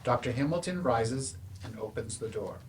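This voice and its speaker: A woman with a very deep voice reads out stage directions. The live room adds clear background noise. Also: expressive, normal speed, darkish tone, poor audio.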